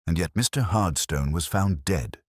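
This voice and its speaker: Man playing a calm police inspector, very deep voice. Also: normal speed, husky, no echo, studio quality. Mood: calm, probing. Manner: gentle, even, quietly authoritative. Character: gentle, unruffled, dryly witty male police inspector.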